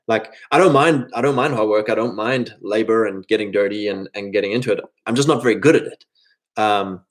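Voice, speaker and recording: A thirty-year-old man giving an informal, rambling motivational monologue, slipping into imagined quotes as he advises other men. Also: mid-range voice. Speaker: speaker giving advice to men.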